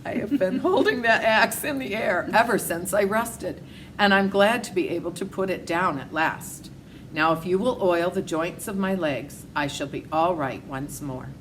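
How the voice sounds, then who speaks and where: A plaintive, courteous man made of rusted tin , voiced by a woman reading a storybook. He speaks politely and gratefully. The recording has clear background noise. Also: fairly low pitch, moderate pace, a bit bright, close to an everyday voice, low-quality recording. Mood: relieved, polite. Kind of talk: storybook read-aloud character voice.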